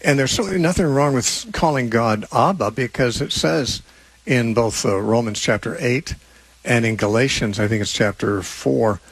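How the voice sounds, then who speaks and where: An older American man in his seventies speaks as a radio host and teacher. His voice is husky and recorded dry, with no room sound. He explains things casually and conversationally, with a self-deprecating touch. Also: medium pitch, normal speed, no room sound, rough recording. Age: 70s. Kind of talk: casual live call-in radio talk.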